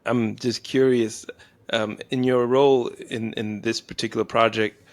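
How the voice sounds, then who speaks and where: Male co-host, gravelly mid-range voice, relaxed and a bit hesitant. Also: medium pitch, moderate pace. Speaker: podcast co-host. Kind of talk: conversational interview.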